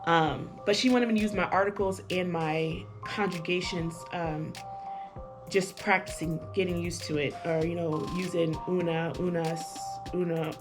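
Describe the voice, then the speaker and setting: A woman's voice: lowish voice, fairly even intonation, normal speed. A woman vlogger reviewing an app in casual talk to camera, chatty and hesitant, with lots of fillers and restarts. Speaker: language-learning vlogger. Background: music.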